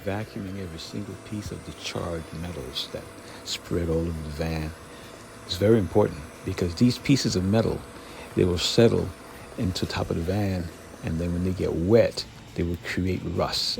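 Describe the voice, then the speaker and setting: A man's voice: deep voice, normal speed, creaky, darkish tone, a little hiss or hum, low-quality recording. A man narrating his own DIY build in a casual, candid, informal way, like a vlogger.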